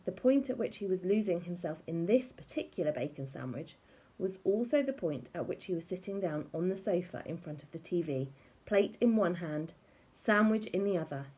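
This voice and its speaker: A woman reading a children's story aloud in a wry, expressive way. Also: fairly low pitch, breathy edge. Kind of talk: animated storybook read-aloud.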